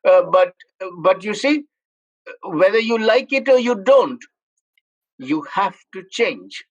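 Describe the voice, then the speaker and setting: A man's voice: sits high, lively intonation, takes it slowly, muffled recording. A man moderating an online panel discussion over a video call, speaking emphatically and repeating his points.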